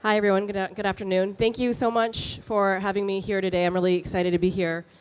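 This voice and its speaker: A woman's voice: mid-range voice, level tone, fast talker, soft background music. A woman from Taiwan, a venture capital partner, giving a fluent conference presentation with frequent "um" fillers. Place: conference stage.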